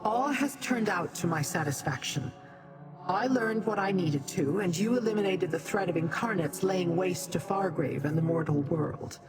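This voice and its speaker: An actress playing a proud, secretive demon in a video game, haughty, formal and deliberate. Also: female voice, on the low side, natural voice, poor audio. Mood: aloof, measured. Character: proud, aloof demon schemer, secretive and self-serving. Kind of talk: video game character acting. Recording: music behind.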